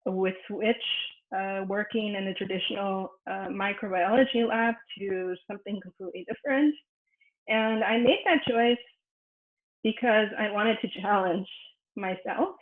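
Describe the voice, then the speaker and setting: A woman researcher whose first language is Spanish, giving a personal academic presentation with many pauses and fillers. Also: medium pitch. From United States. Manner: thoughtful, frequent fillers and restarts. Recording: echoey room over a phone-like line.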